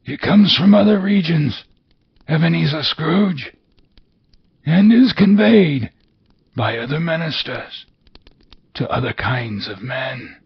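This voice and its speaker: A man voicing the wailing, chained ghost of an old miser, with a high quavering voice that rises to cries. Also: expressive, slow pace, creaky, long pauses, husky, heavily acted. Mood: mournful wailing. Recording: dull lo-fi recording with faint hiss.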